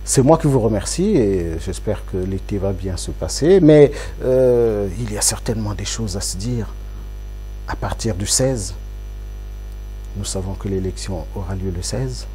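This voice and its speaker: A man's voice: fairly low pitch, animated, measured pace, mellow, soft background music. A man from Congo, an opposition politician speaking in an interview, making his points emphatically with rhetorical repetition. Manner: emphatic, rhetorical, repeats phrases for effect.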